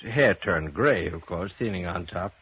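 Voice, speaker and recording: An actor playing an elderly, genteel former film director who speaks hesitantly and rambles, with a husky, creaky voice, in a vintage radio drama. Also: male voice, sits low, expressive, normal speed, fairly bright tone, full character voice. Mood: hesitant, reminiscing. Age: older. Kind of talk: 1950s radio drama acting. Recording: dull vintage radio recording.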